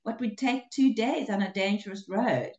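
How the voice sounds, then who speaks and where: A woman's voice: medium pitch, normal speed, darkish tone, roomy sound, lo-fi sound, slight background noise. An older woman from Africa, a relationships coach telling her story in an interview, with hesitations and restarts. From South Africa. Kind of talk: casual interview conversation.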